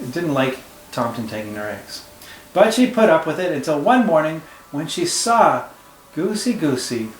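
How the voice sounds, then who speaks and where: A man, a teacher, reading a picture book aloud to children with expressive storytelling and friendly asides. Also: fairly high pitch, slight background noise. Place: room at home. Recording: echoey room with faint ambience.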